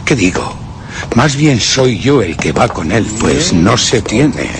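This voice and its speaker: A man's voice: fairly low pitch, very animated, takes it slowly, noisy background, heavily acted. A man with a Castilian Spanish accent playing a scheming, brooding murderer who narrates theatrically in a radio suspense drama. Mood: brooding menace. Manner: theatrical, literary, ominous, dropping to whispers.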